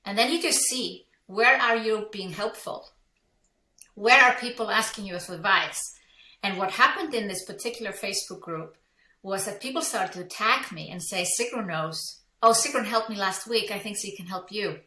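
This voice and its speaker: Bright-voiced woman from Iceland. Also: mid-range voice, moderate pace, roomy sound. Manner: reflective, candid, with fillers and restarts. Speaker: guest, entrepreneur and business coach. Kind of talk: conversational interview storytelling.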